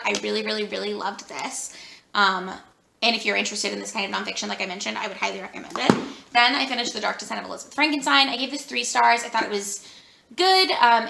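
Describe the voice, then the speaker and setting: A woman vlogger talking casually about books she read, rambling with lots of fillers and a voice that is going hoarse. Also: medium pitch, bright, forceful tone, roomy sound. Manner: chatty, rambling with many fillers. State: losing her voice. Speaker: book vlogger. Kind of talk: casual vlog chat.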